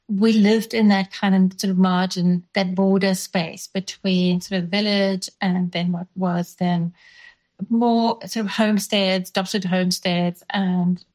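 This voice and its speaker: A woman's voice: medium pitch, a little mellow. A woman in her fifties from South Africa, raised speaking Dutch, recalling her past thoughtfully in an interview with frequent hedges and restarts. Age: 50s. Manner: thoughtful, many fillers and restarts. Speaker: guest. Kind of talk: reflective interview.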